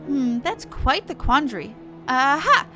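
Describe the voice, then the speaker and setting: Woman voicing a pompous, bright little moogle hero. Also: high voice, very animated, unhurried, soft background music, exaggerated voice. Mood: gallant, boastful. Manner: pompous, florid heroic speech. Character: boastful little male moogle adventurer, gallant and eager helper. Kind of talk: reading game dialogue in character.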